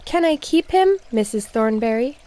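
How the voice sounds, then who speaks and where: A woman voicing an excited, eager young schoolboy in a children's storybook, exclaiming his words. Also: a little high, moderate pace, natural voice.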